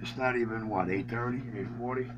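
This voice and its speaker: A man's voice: medium pitch, steady tone, fairly quick, dull audio. A man in casual, argumentative banter over a radio transmission, mocking and repeating himself in street talk. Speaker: radio operator chatting on an open channel. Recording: noisy distant radio transmission with hum.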